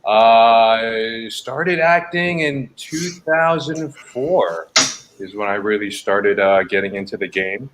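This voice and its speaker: A man in his forties from New York City with an American accent, an actor telling his story casually and haltingly. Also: medium pitch, heavy background noise. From New York City, United States. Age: 40s. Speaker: actor, podcast guest. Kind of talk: casual chat.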